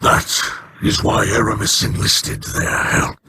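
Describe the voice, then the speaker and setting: An actor playing a solemn, regretful male elder of an alien people in a video game, speaking in measured, formal sentences. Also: level tone, sharp tone, slightly rough, no room sound, clean studio sound, exaggerated voice. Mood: grave. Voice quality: low, measured, formal speech.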